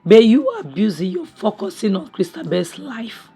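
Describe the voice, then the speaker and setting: Hoarse, husky woman vlogging. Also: mid-range voice, big pitch swings, moderate pace, dark tone. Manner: chatty and opinionated, many restarts and "you know". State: hoarse from a cough, losing her voice. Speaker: series review vlogger. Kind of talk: casual spoken review recap. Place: home, near a kitchen appliance.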